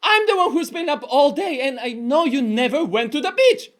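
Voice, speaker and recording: A man voicing a fishmonger's wife in a one-performer comic tale, scolding her drunken husband in a sharp, exasperated manner. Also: very high voice, animated, normal speed.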